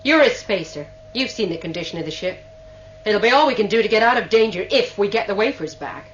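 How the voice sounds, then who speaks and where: A woman's voice: medium pitch, lively intonation, brisk, close to an everyday voice. An actress playing a tough, commanding female starship captain in a sci-fi radio drama, speaking in terse threats. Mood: blunt, matter-of-fact. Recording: muffled, hissy old radio recording with hum.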